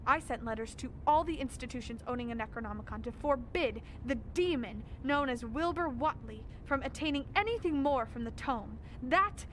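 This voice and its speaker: A woman playing a haunted scholar in a horror radio drama, narrating in a solemn, deliberate, foreboding way. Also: high voice, animated, a little hiss or hum. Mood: grave. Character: grave, haunted scholar recounting horrors, ominous.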